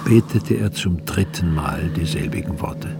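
Grave evangelist, gravelly low voice, solemn scripture narration, radio drama, noisy ambience. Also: male voice, unhurried. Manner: sober, solemn.

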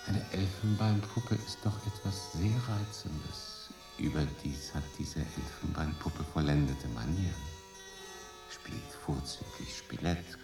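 An actor in his late thirties playing a cool, urbane English consul in a German radio drama, thoughtful, dry and understated. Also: a bass voice. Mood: wry, musing. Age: late 30s.

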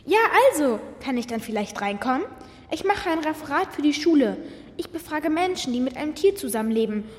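A woman's voice: high voice, lively intonation, fairly quick, a little mellow, a little hiss or hum. A female performer playing a persistent, wheedling schoolgirl who wants a pet, in a children's audio drama. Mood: polite, eager. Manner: wheedling, pushy.

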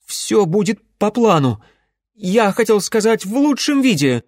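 A man's voice: high voice, very animated, unhurried, darkish tone, dry recording, clean studio sound, voice pushed up. A man voicing an anxious young merchant's son in an audiobook, boastful yet servile, with a fawning, self-important manner. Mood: eager, assuring. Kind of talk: audiobook character voice.

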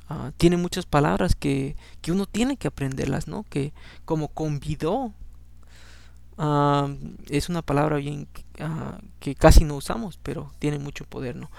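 A man's voice: fairly high pitch, vocal fry, darkish tone, dry recording. A man whose first language is Spanish, hosting a Bible reading podcast. He reads scripture and adds personal commentary in a conversational way, often ending with a questioning "no?". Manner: conversational, keeps adding a questioning "no?"; frequent fillers and restarts.

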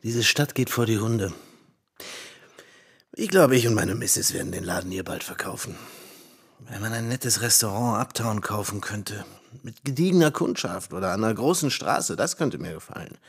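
A low, raspy barman, worn down and grumbling. He speaks in a gruff, colloquial way with a creaky edge, as in a radio drama. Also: lively intonation, moderate pace, full character voice. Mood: weary, grumbling. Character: weary, grumbling barman, an ex-boxer. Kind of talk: German radio play dialogue.

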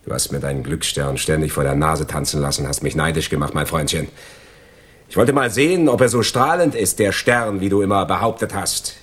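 An actor playing a gloating, envious crook in a German radio crime drama, sardonic and lecturing in a mock-polite way. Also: male voice, low-pitched, brisk, a bit bright, breathy edge. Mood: gloating, bitter. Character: gloating, envious male crook, betraying his friend.

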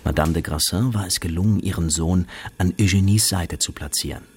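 An actor playing a composed narrator, reading scripted literary narration in a radio drama. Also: male voice, low-pitched, a little fast, darkish tone, breathy edge.

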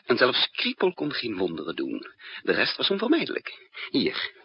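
An actor playing a weary, brooding male spy who narrates his own story with slow, deliberate drama in a 1960s radio play. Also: mid-range voice, huge pitch swings, vocal fry, husky, heavily acted. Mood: grim, confronting. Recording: dull, echoey old radio recording.

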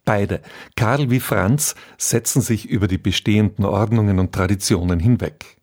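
A man reading a measured scripted introduction to a radio play as its announcer. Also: fairly low pitch, a little mellow.

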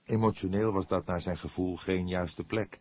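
A man's voice: sits low, steady tone, moderate pace, a little mellow, no echo. A man reading a detective novel as scripted audiobook narration.

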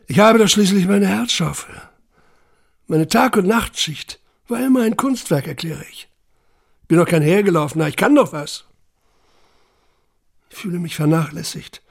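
An actor playing a haunted, self-questioning camp survivor in a literary audio monologue, intense and introspective, with a husky, gravelly voice. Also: male voice, a little high, a little mellow. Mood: aggrieved, insistent. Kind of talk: literary first-person monologue in audio drama.

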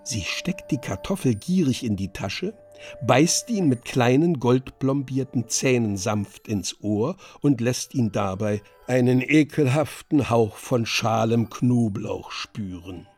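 A man narrating a radio drama from a script in a deliberate, theatrical way. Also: medium pitch, lively intonation, a little mellow, soft background music.

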